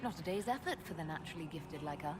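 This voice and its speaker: An elf heroine from a video game, diplomatic and wry. Her voice is on the low side but lively in melody, measured and gently teasing, with music behind it. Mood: curious, wry. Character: composed elf woman hero, wry and diplomatic. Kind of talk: video game character acting.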